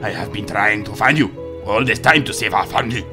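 Earnest cartoon pig brother, played by a man, mock Italian accent, lowish pitch, game cutscene. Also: brisk, music in the background, natural voice.

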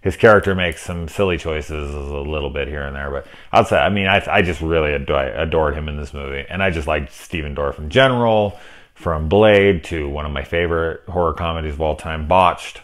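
A man's voice: rumbling low, brisk. A man in his thirties giving a casual, profane spoken review of a horror film, seated in a small room lined with movie shelves, talking with many fillers and restarts.

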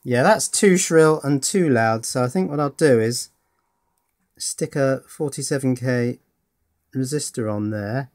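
A man's voice: mid-range voice, unhurried, a little mellow. Man thinking aloud through an electronics demo. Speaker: electronics hobbyist presenter. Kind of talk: casual hands-on demonstration commentary. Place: indoors at a workbench. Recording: music playing in the room.

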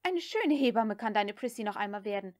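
A woman voicing a headstrong young Southern woman in an audiobook, giving curt, harsh orders in a sharp manner. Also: mid-range voice, lively intonation, fairly quick, lightly acted. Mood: curt, commanding. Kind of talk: audiobook character dialogue.

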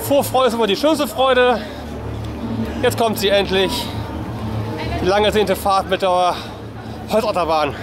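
A man's voice: sits high, measured pace, pauses often. A man in his forties chatting casually to a handheld camera outdoors, as a family vlogger. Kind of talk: casual vlog chat to camera. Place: outdoors in a busy amusement park. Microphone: handheld camera at arm's length. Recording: outdoor vlog, loud music and crowd noise. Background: loud music and crowd.

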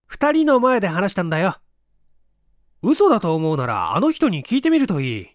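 A man's voice: sits high, huge pitch swings, normal speed, dry recording, studio quality. A man voicing a needling, coolly mean male friend who presses and accuses in a dramatic audiobook reading. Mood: insistent.

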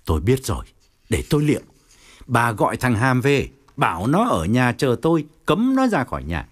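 Casting: male narrator as domineering provincial official and father, middle-aged, berating, with wide pitch swings, for an audio story. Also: wildly swooping pitch, normal speed, breathy edge. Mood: curt, commanding. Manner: berating, authoritative. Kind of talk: audio story character dialogue.

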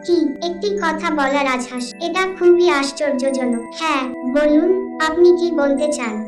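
A woman's voice: high-pitched, moderate pace, natural voice. A woman playing a kind, gracious young princess in a children's fairy tale cartoon, speaking formally. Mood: surprised. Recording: roomy, distant voice under loud music.

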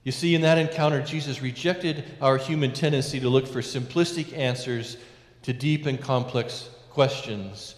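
A middle-aged man, a priest, preaching a sermon from a lectern in a large church, measured with emphatic rises and hushed endings. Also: medium pitch. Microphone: lectern mic, far camera. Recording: echoey church with long reverb.

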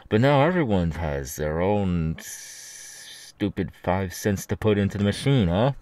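A man voicing a menacing, mocking ex-convict kidnapper in an ASMR audio roleplay, with a halting, sneering drawl. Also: low voice, big pitch swings, darkish tone, no room sound. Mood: irritated.

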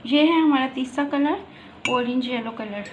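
A woman with a high voice walks viewers through a home recipe, patiently explaining each step as she cooks. Soft kitchen sounds and clinking dishes stay faintly in the background. It is recorded on a phone in a home kitchen. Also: a little slow, highs cut off, slight background noise. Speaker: cooking channel host. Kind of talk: step-by-step cooking demonstration.

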